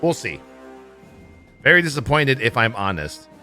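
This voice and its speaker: Bright, forceful man commenting on a livestream. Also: medium pitch, sing-song, measured pace, soft background music. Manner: blunt, swears freely. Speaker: livestream host. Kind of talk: casual livestream commentary on gaming news.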